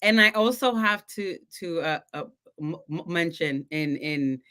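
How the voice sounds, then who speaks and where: A woman coach who stutters a lot on first sounds, talking in a relaxed podcast chat. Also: low voice, measured pace. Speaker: confidence coach and guest.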